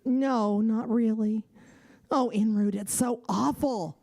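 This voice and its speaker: A woman's voice: a little high, fairly even intonation, slow, gravelly, warm tone, full character voice. A woman playing a sweet, wishful young fairy-tale heroine in a children's audio play, earnest and pleading. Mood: woeful. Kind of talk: children's audio theater acting.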